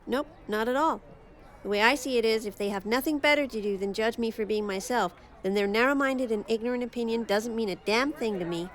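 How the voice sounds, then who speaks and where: A woman's voice: a little high, fairly quick, lightly acted. A woman playing a bold, carefree outsider girl in an audio drama, speaking in a blunt, confident, casual way. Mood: defiant.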